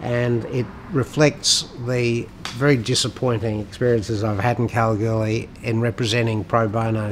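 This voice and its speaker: A man giving reflective commentary on his poetry in formal, deliberate, halting phrases. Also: fairly low pitch, slight background noise. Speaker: poet and lawyer discussing his own work.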